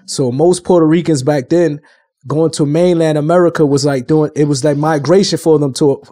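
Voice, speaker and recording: Male co-host for casual chat podcast: mellow, slightly raised pitch, informal street-casual phrasing. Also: fairly even intonation, normal speed. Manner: informal, slangy.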